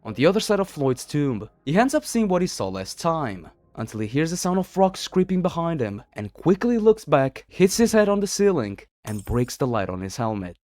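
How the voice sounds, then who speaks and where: A man's voice: a little high, big pitch swings, normal speed, few pauses, darkish tone, dry recording. A man narrating a scripted horror story as a video narrator, in a dramatic storytelling manner.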